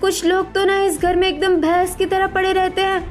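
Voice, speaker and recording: A woman's voice: very high-pitched, level tone, moderate pace, soft background music, voice pushed up. A woman voicing a spiteful, snobbish young daughter-in-law in a cartoon, whiny and sarcastic. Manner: whiny, sarcastic, mixes in English words.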